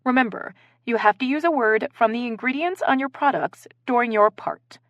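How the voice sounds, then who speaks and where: A woman's voice: on the high side, moderate pace, fairly bright tone, no echo, muffled recording, voice pushed up, close to an everyday voice. A woman narrator voicing a theatrical, commanding woman who leads an improv game in a story. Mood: eager, instructing. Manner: theatrical, directing.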